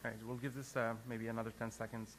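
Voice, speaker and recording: A man's voice: mid-range voice, level tone, fast talker, a little hiss or hum. A man who grew up in Lebanon, a company founder giving a business presentation to an audience, earnest and hesitant, with many fillers and restarts.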